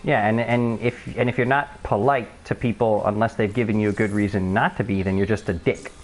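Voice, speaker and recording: A man with a high-pitched voice, streaming live and chatting over voice chat in a profane, emphatic way, often restarting his sentences. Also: fairly even intonation, a little fast. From Canada. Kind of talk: rambling voice chat.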